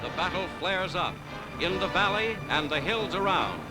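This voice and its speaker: A man's voice: high voice, lively intonation, moderate pace, low-quality recording. An American man narrating a 1940s newsreel in a declamatory, dramatic manner. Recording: 1940s newsreel with loud music.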